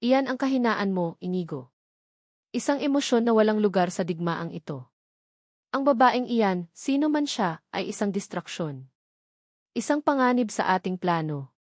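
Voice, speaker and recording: A Filipino woman voicing a stern, scheming elderly grandmother in a story, sharp and admonishing. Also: medium pitch, expressive, moderate pace, pauses often, dry recording, studio quality, lightly acted. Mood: cutting.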